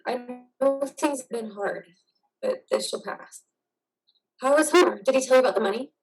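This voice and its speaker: A woman playing a gentle, affectionate, supportive wife in an amateur play reading. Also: fairly high pitch, normal speed, far from the mic, over music, lightly acted, low-quality recording. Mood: tender, coaxing. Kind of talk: amateur reader's theater over video.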